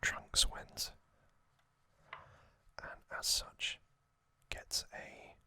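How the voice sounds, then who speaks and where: Slow whispering man, ASMR host. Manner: soft, hesitant, corrects himself. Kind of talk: whispered ASMR trivia recap.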